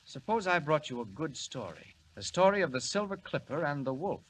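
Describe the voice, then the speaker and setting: An actor playing a polite, quietly confident young reporter in a 1940 radio drama. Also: male voice, a little high, sing-song, a little fast, low-quality recording. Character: earnest young male reporter with a secret. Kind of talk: 1940s radio acting. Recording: hissy 1940 radio recording.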